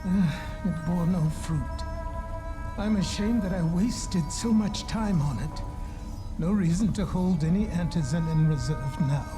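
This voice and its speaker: A male actor playing a courteous, absent-minded scientist in a video game, formal and hesitant, given to muttering to himself. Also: high voice. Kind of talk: video game cutscene acting. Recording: music behind the voice.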